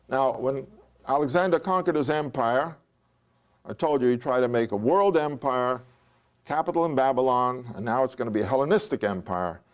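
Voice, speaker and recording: An older man giving a recorded history lecture in front of a chalkboard, telling the story with animated gestures and frequent restarts. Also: medium pitch. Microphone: lapel.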